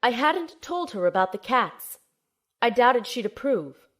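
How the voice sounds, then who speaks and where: A woman's voice: a little high, unhurried, heavily acted. A woman playing an earnest, determined young operative, telling and acting out her mission expressively in an audio drama. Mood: reflective, suspenseful. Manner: expressive, storytelling. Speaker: narrator and lead character.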